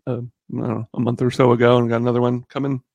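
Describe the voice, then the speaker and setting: Gravelly man in his thirties, chatting casually. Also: medium pitch, flat delivery, darkish tone, no room sound, dull audio. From born in the Bahamas. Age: 30s. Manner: halting, rambling, joking. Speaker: podcast guest. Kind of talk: casual chat.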